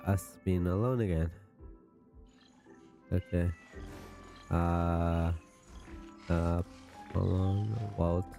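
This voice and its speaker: A man's voice: sits low, steady tone, extremely slow, vocal fry, long pauses, warm tone, soft background music. A man streaming a video game, giving short, casual reactions.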